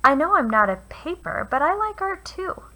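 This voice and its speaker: A woman's voice: high voice, sing-song, normal speed, bright, forceful tone, pitched above the performer's own voice, heavily acted. A woman voicing an earnest, cheerful little gray stone in a storybook, with emphatic delivery. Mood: eager, encouraging. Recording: noisy home recording.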